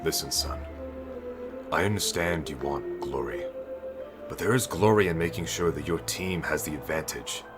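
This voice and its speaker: A man playing a stern, authoritative fantasy knight captain who gives clipped orders with a gruff but fatherly air. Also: extremely low pitch, normal speed. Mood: grave, persuasive. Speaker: military commander. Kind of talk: fantasy audio roleplay acting. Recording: orchestral game music behind.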